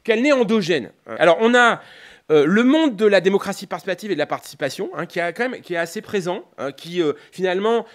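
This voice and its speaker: Animated high-pitched Frenchman lecturing, halting and rephrasing. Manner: hesitant, repeatedly breaking off and rephrasing, punctuated with rhetorical tags; analytical, explanatory, didactic. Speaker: political scientist, university lecturer. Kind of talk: semi-improvised conference lecture.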